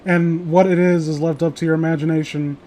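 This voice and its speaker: Male host, fairly high voice, loose sweary banter over light background noise. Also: little pitch movement, a little fast, mellow. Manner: rambling, foul-mouthed, joking. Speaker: host of a horror story reading show. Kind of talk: casual chat and commentary on stories. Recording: noisy home recording, clear background hiss.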